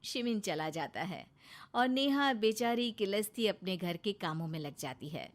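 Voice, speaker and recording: A woman narrating a children's cartoon story in a lively, expressive way. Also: mid-range voice, measured pace.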